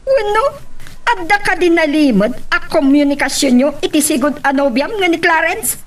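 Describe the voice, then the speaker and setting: A woman's voice: high voice, animated, normal speed. A woman with an Ilocano accent playing a stern, probing middle-aged mother in an audio drama. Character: stern, probing mother questioning her grown son. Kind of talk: amateur Ilocano radio-style romance drama acting.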